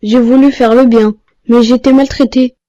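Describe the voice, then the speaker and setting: A woman's voice: fairly high pitch, fairly even intonation, moderate pace, mellow, lightly acted. A woman voicing a sincere, pious young boy in a cartoon, asking questions, on dull lo-fi audio. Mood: earnest.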